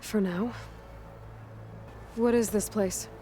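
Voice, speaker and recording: Female game lead played by an actress: young outlander, medium pitch, straightforward, wry, naturalistic, light score behind. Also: fairly even intonation, takes it slowly, warm tone, faint music behind, natural voice. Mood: focused. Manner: direct, probing, dry. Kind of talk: naturalistic video game cutscene acting.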